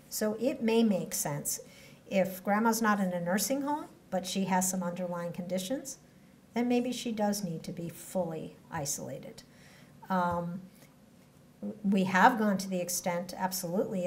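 A woman public health official answering questions at a press conference in a measured, explanatory way, speaking into podium microphones. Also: medium pitch, darkish tone, a little hiss or hum. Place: indoor press room at a podium.

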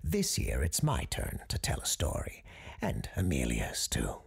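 A man playing a genial parapsychologist radio host who tells Christmas ghost stories in a husky, creaky, measured, genteel voice. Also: extremely low pitch, huge pitch swings, exaggerated voice. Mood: genial, chatty. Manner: genteel, measured storyteller's delivery. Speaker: host and storyteller of an audio fiction show. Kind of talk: scripted storytelling, radio-show monologue.

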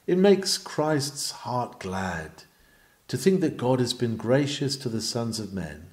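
Mellow man reading a sermon, animated delivery. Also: medium pitch, slow pace. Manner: earnest, oratorical, emphatic phrasing. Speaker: reader of historical sermons. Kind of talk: sermon read aloud from a script.

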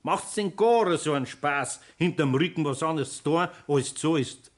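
A man playing a kindly, sly older craftsman in a 1960s radio play, with a Bavarian accent and an unhurried, homely manner. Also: on the high side, lightly acted. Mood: probing, stern. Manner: unhurried, homely, chuckling, gently admonishing.

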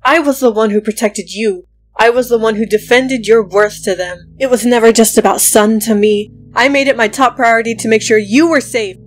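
A woman's voice: fairly high pitch, moderate pace, natural voice. A woman playing a devoted, wounded but defiant inventor in a dramatic comic dub, speaking earnestly with emotional weight. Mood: angry, defiant.

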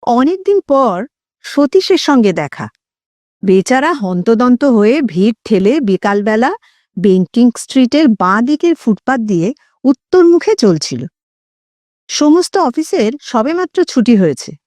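A woman narrating a literary story in a dramatic, expressive way with deliberate phrasing. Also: fairly high pitch, no echo, clean studio sound. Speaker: audio story narrator and host.

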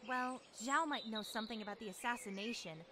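A woman's voice: fairly high pitch, fast, noisy background, close to an everyday voice. A woman voicing a kind, confident young dragon girl in a video game, friendly and reassuring. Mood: thoughtful.

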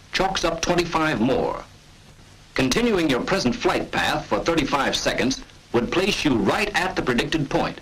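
A man giving scripted, measured and authoritative narration for a 1940s military training film. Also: on the high side, a bit bright, husky, low-quality recording.

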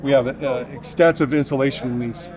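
Gray-haired builder explaining on site. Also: male voice, medium pitch, a little fast. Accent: Northern Californian. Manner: steady, technical, explaining step by step. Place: outdoor construction site by a basement form wall.

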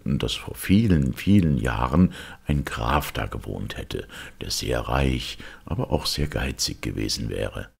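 Very deep, gravelly male storyteller. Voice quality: gravelly, husky. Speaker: fairy tale narrator. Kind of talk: scripted storytelling narration.

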